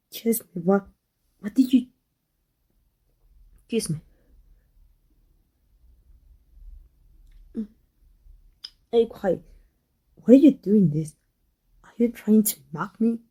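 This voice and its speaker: A woman playing a guarded but tender villain in an intimate ASMR roleplay, speaking in a halting, emotional, breathy way. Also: medium pitch, animated, mellow, full character voice. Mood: flustered. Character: tender, guarded villain woman confessing love to her rival. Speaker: voice actor.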